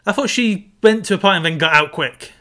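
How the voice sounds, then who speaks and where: Bright-voiced man interjecting. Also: high-pitched. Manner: quick asides, interjecting. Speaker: podcast co-host. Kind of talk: casual film chat. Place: home room on a video call.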